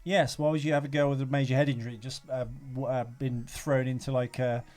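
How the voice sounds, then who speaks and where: A man's voice: mid-range voice, little pitch movement, a little fast, a little mellow. A man co-hosting a podcast, chatting casually about a film with a teasing, sweary manner. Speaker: podcast host.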